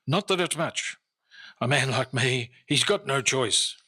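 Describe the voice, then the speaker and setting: An actor playing a humble, servile street sweeper in an old-time radio drama, with rustic, uneducated speech. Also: male voice, medium pitch, lively intonation, measured pace, pushed and bright, slightly rough, heavily acted. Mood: humble, pleading. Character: humble, wheedling poor street sweeper, a detective in disguise.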